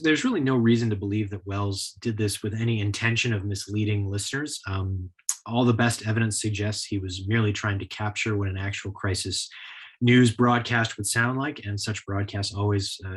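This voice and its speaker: A man with an American accent delivers a prepared history lecture. His voice is on the low side and noticeably husky. He explains things in full, scripted sentences.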